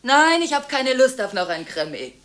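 A high-voiced woman in a radio play, caught in restless phone calls. She is impulsive and contradictory, swerving between protest and brooding evasion, with the urgent manner of someone who cannot stop talking. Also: very animated, moderate pace, fairly bright tone. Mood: agitated, defiant. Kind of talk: German radio drama monologue, one side of phone calls.

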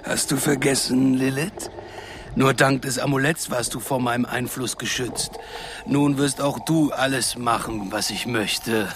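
A sinister male villain played by a man commands with cold politeness. He draws his words out very slowly and menacingly in radio play style, over clearly noisy effects. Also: medium pitch, fairly even intonation, close to an everyday voice. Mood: cold menace. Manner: menacing, drawn-out, coldly polite.

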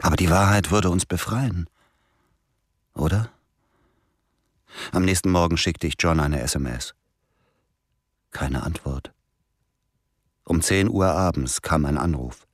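An actor playing a brooding, tormented man who narrates a radio drama in a sombre, measured, inward way. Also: deep voice, no echo, clean studio sound. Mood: pensive. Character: brooding adult male narrator, tormented former agent.

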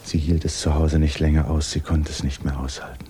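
Super deep guy narrating an old german radio drama, soft dark tone, slight hiss. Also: little pitch movement, a little fast.

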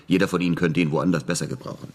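An actor playing a cynical, flippant American war veteran in a German radio crime drama, speaking in a laconic, matter-of-fact way. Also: male voice, low-pitched, steady tone, racing, a little hiss or hum, lightly acted. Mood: matter-of-fact, persuasive.

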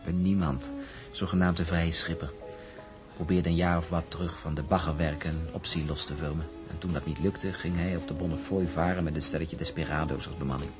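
An actor playing a confident shipping man in a drama, explaining in a measured, emphatic manner. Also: extremely low pitch, steady tone, over music. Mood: explaining, disdainful. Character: confident shipping man briefing a woman about a rival.

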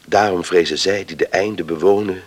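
Grave island villager: very low, husky, measured and solemn, for radio drama. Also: male voice. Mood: solemn reading.

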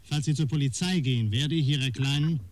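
An actor playing a menacing blackmailer in a radio crime drama, giving harsh, clipped commands. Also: male voice, medium pitch, fairly quick, darkish tone, a little hiss or hum, lightly acted. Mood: threatening. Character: menacing male blackmailer, curt and threatening.

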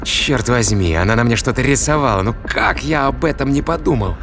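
A man's voice: mid-range voice, lively intonation, a little fast, music in the background, close to an everyday voice. A man playing a tough, sardonic spaceship crewman in an audio drama, questioning a captive in pointed, colloquial speech. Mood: alarmed realisation.

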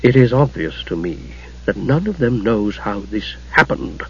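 A man voicing a tall, grim, solemn male god with a grave, measured manner in an audiobook. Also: fairly low pitch, big pitch swings, a bit bright, breathy edge, muffled recording, voice pushed down, heavily acted.

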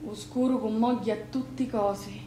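An actress playing a blunt, scolding sister with a Sicilian accent in an expressive piece of dialect theatre. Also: female voice, fairly high pitch, normal speed, natural voice. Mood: reproachful. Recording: roomy theatre sound with background noise.

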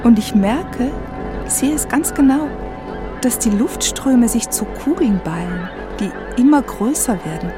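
A woman's voice: fairly high pitch, lively intonation, a little slow. Woman narrating a radio drama over music. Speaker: narrator and author. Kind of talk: scripted first-person radio drama narration. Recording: music and crowd sounds behind. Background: music, crowd.

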